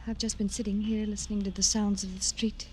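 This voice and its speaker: A woman's voice: medium pitch, little pitch movement, fairly quick, darkish tone, lightly acted. An actress playing an anxious, trusting young woman in a 1950s radio drama. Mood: wistful. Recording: vintage radio broadcast, noisy background.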